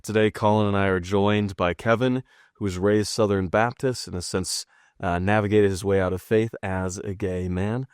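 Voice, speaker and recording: A man's voice: lowish voice, steady tone, normal speed, clean tone, no echo, clean studio sound. A man hosting a podcast, asking questions in a conversational interview style. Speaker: podcast host.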